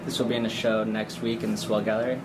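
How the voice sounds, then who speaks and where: A man from Los Angeles introducing himself as a painter in a relaxed, plain way. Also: lowish voice, fast, heavy background noise. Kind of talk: casual self-introduction.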